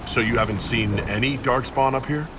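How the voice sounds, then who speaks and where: An actor playing a businesslike, concerned inquisitor with a measured, serious manner in a fantasy game. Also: male voice, medium pitch, recorded from a distance, background noise, natural voice.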